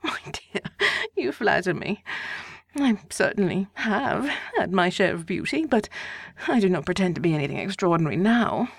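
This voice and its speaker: A woman voicing an excitable, gossiping middle-aged mother of five who is fussy and insistent. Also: mid-range voice, moderate pace, vocal fry, husky, no room sound, studio quality. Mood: pleading.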